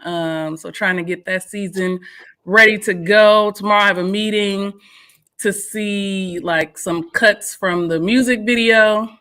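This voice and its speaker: A woman's voice: mid-range voice, steady tone, a little slow, bright, forceful tone, clean tone. A woman co-hosting a morning show, chatting casually and laughing often. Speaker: radio show co-host and podcaster.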